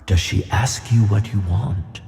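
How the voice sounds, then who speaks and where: A man playing a wise, gentle, fatherly giant flying tuna in a children's audio play, calm and reassuring. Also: lowish voice, unhurried, creaky. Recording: long cavernous reverb on voice.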